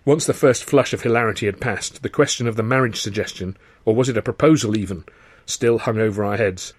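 A man reading a first-person audiobook in a wry, expressive storytelling manner. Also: fairly low pitch, fairly quick, slightly rough, dry recording. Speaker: author reading own novel.

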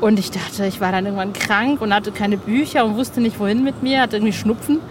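A woman's voice: mid-range voice, fairly even intonation, a little fast, background noise. A woman hosting an interview, telling stories in a chatty, enthusiastic way with many restarts. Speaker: podcast host. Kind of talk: casual interview chat.

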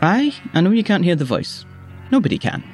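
A woman's voice: on the low side, sing-song, fairly quick, darkish tone, soft background music. A woman playing a grumbling, folksy male shepherd in an audio drama, chatty and wry. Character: grumbling male shepherd prophet fond of his animals.

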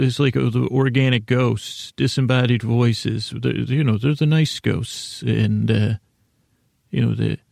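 Man, mid-pitched voice, vocal fry, halting rambling delivery, deadpan silliness, sleep podcast host, bedtime monologue. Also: no room sound, studio quality. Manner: meandering, halting, self-interrupting with tangents.

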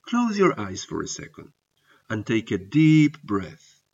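A man whose first language is not English, hosting a podcast and narrating stories in a reflective, measured way, with hesitant fillers when he speaks off-script. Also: lowish voice, wildly swooping pitch, gravelly, darkish tone, breathy edge. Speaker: podcast host. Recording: muffled lo-fi recording.

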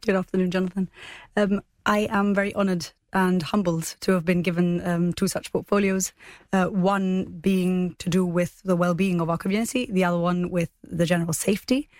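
A woman's voice: on the low side, fairly even intonation, normal speed, darkish tone, no room sound, studio quality. A woman speaking as a political guest in a formal interview, earnest and careful with her phrasing.

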